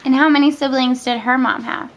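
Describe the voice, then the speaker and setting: A woman's voice: high voice, fairly even intonation, brisk, background noise. A woman chatting casually, putting in short questions and reactions as she listens to a story. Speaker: listener prompting a family storyteller.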